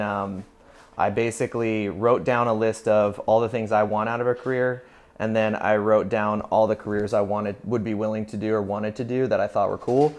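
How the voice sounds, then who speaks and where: An American man in his thirties speaking candidly and matter-of-factly in a casual documentary interview. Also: fairly low pitch. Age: 30s. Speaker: barber. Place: inside a barbershop.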